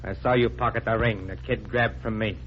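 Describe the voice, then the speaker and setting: A man playing a bullying tough crook who talks in gruff slang, in 1940s radio drama style. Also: lowish voice, steady tone, brisk, natural voice. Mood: menacing. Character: threatening tough male crook with a concealed gun. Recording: dull vintage radio recording, faint noise.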